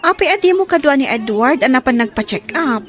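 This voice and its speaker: A woman's voice: high voice, expressive, fairly quick. A woman playing a sociable, sympathetic young woman in a radio drama. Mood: surprised, indignant.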